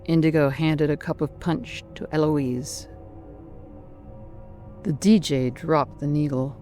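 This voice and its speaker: A slow, deliberate horror narrator with a mid-pitched voice that could be a man's or a woman's. The delivery is dramatic and menacing, built on theatrical pauses, with faint music underneath. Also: fairly even intonation, dark tone.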